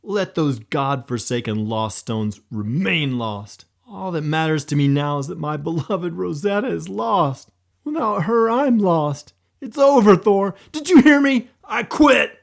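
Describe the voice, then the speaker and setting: A man voicing a hot-tempered boy who yells and sobs over his lost dog. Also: on the high side, lively intonation, unhurried, gravelly, pitched above the performer's own voice. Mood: angry grief. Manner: yelling, sobbing outbursts. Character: hot-tempered grieving boy, loud and shrill.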